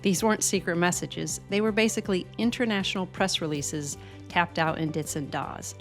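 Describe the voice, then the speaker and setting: A woman with an American accent and a fairly low voice narrates from a script. She speaks as a co-host, with faint music playing behind her. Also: moderate pace. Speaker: co-host of a ham radio channel.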